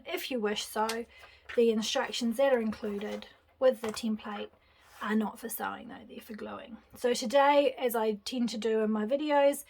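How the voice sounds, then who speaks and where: A woman hosting a casual craft tutorial, chatting and thinking aloud as she glues and assembles at her table. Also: fairly high pitch. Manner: chatty, relaxed, thinking aloud while working. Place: craft table indoors, hands seen from overhead camera.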